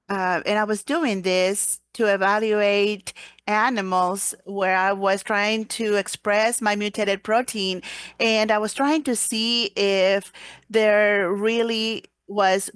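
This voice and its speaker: A woman gives a live interpretation of a scientific presentation, catching up with the speaker in halting bursts full of fillers and self-corrections. Her voice is smooth and clean, at a middling pitch, recorded dry and close with no room sound. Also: a bit bright, no echo. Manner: halting, frequent fillers and restarts. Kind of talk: simultaneous interpretation of a scientific talk.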